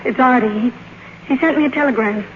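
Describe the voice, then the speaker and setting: A woman's voice: a little high, a little fast, slight background noise, lightly acted. An actress playing an earnest, lovestruck young woman, speaking tenderly and hesitantly in an old radio drama. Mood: tearful, tender. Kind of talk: 1940s-50s radio drama acting. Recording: muffled old radio broadcast.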